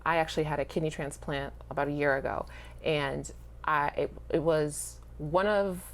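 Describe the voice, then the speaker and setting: A woman's voice: low-pitched, steady tone, normal speed. A woman coach talking candidly and sincerely about her own experience in a conversational interview. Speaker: basketball coach, interview guest. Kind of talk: candid personal interview talk.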